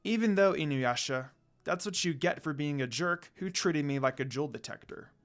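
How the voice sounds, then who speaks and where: A man voicing a gentle but outspoken young woman in a story reading, with a soft, earnest manner. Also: a little high, normal speed, soft background music, close to an everyday voice. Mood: apologetic, firm.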